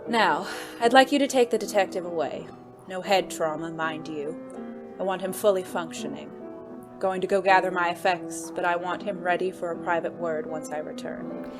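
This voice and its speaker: A woman's voice: lowish voice, normal speed, close to an everyday voice. A woman playing a smug, imperious mob boss's nephew in a noir audio drama, silky and taunting. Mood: silky command. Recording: music behind the voice.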